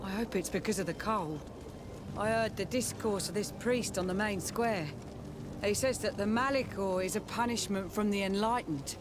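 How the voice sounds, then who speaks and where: A woman's voice: medium pitch, normal speed, noisy background, lightly acted. An actress playing a fearful, pious townswoman in a video game, speaking in an anxious, lamenting way. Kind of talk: scripted video game acting.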